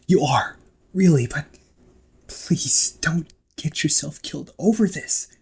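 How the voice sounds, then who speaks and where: A man playing a protective, exasperated ghost brother in an emotional amateur audio roleplay, speaking in a husky voice. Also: fairly high pitch, slow, in a whisper, heavily acted. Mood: pleading. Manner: emotional, swearing, swings from outbursts to soft confessions.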